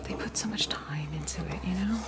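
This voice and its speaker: A woman chatting in a breathy, creaky whisper for an ASMR unboxing video, rambling hesitantly through little asides as the host. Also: fairly low pitch, brisk. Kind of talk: ASMR, soft rambling chat. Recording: music playing behind the voice. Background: clear background music.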